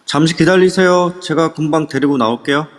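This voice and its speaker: A man's voice: fairly high pitch, a little fast, natural voice. A man playing a friendly young man who talks casually in an amateur audio drama. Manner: casual and chatty. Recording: reverb-heavy online audio.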